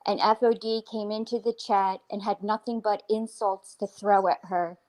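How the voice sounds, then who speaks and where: A woman's voice: medium pitch, steady tone, normal speed, darkish tone, low-quality recording. A woman on a live stream panel, insistent and pushing back firmly.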